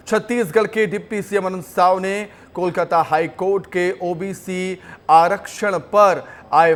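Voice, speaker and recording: A man reading television news as an anchor, in an emphatic style that repeats headlines, with music behind. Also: sits high. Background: news music bed.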